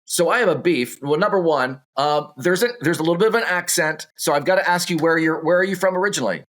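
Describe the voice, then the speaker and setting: A man's voice: fairly high pitch, fast, a bit bright. A man hosting an interview, asking questions in a friendly, chatty way. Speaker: podcast host. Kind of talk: casual interview chat.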